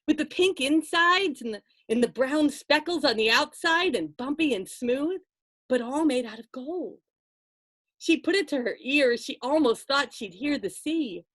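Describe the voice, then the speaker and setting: A woman storyteller telling a folktale aloud to listeners, expressive and conversational, with dramatic pauses and asides. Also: high-pitched, no echo, clean studio sound.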